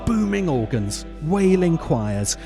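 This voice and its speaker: A man hosting a video essay, delivering scripted commentary in a dry, deliberate way with dramatic emphasis. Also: fairly high pitch, very animated, vocal fry, music in the background. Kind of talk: scripted narration, film commentary.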